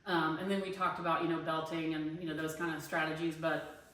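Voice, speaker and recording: A woman's voice: low voice, little pitch movement, brisk, fairly bright tone, far from the mic. A woman in her fifties, a singing teacher and online student, talking casually and thinking aloud with many fillers and restarts about her own voice and teaching. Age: 50s. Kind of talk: casual spoken class discussion post. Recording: very echoey room, away from the mic.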